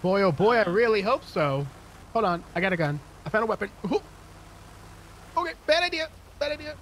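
A man's voice: high-pitched, very animated, fairly quick, fairly bright tone, a little hiss or hum. A man in casual gameplay chat with his group, often repeating short phrases and acknowledgements to teammates. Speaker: gamer in a multiplayer roleplay group.

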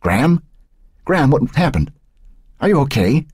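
Male narrator as anxious teen grandson in a novel, earnest, pleading, lifted well above the narration, lively. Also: fairly low pitch, normal speed, breathy edge, dry recording, clean studio sound, voice pushed up, heavily acted. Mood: worried. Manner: anxious, questioning, prompting.

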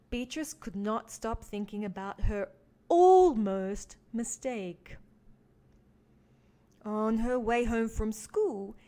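A woman reading a children's picture book aloud as a teaching artist, with expressive emphasis for young listeners. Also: mid-range voice. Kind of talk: animated children's storybook read-along.

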